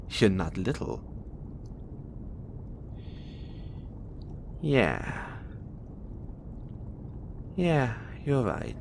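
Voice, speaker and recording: A man's voice: sits low, creaky, background noise, exaggerated voice. A man playing a huge, imprisoned experiment in an audio roleplay, cocky and bitter, teasing and volatile. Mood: playful, teasing. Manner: teasing, swaggering, swinging from soft murmurs to loud outbursts. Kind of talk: intimate audio roleplay monologue addressed to the listener.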